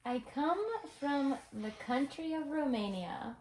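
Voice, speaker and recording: High-voiced woman reading aloud, roomy echo. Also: takes it slowly, darkish tone, a little hiss or hum. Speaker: co-reader on a child's home video. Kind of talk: reading a storybook character's dialogue aloud.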